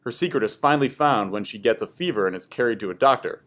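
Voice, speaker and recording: A man's voice: medium pitch, expressive, quick pace, a bit bright. A man reading scripted educational history narration aloud for a class.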